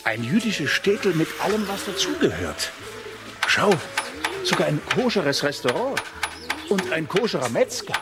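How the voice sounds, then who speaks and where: An excitable, amazed male tourist in a radio play. His voice is high and very animated, amid loud outdoor noise with cooing pigeons. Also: normal speed. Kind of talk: radio drama acting.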